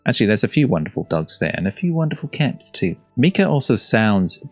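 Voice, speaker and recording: A man's voice: medium pitch, big pitch swings, brisk, no room sound, soft background music. A man hosting a casual, rambling reaction to game character trailers. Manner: rambling, easygoing, chuckling.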